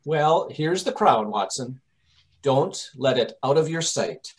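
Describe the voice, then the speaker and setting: A man playing a brisk, impatient gentleman detective giving orders in old-time radio drama style. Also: medium pitch, lively intonation. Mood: calm, considering. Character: brisk, commanding, impatient English gentleman detective directing rehearsal. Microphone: video call.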